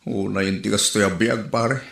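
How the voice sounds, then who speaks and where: A man's voice: on the low side, steady tone, moderate pace, creaky, a bit bright, reverberant. A man with an Ilocano accent acting an exasperated single son in a comedy skit.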